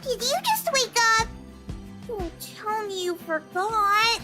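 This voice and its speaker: A woman voicing a bright, impatient little girl in a video game, playful and teasing, with music behind. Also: very high voice, expressive, slow pace, full character voice. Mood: cheeky, expectant.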